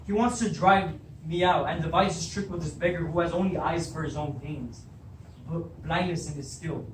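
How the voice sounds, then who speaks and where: Young man acting a high-voiced, indignant king. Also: moderate pace, lightly acted. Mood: angry, accusing. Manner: indignant, accusing. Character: angry, suspicious, proud king. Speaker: drama student. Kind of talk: beginner stage readers' theatre. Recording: roomy, distant mic, faint noise.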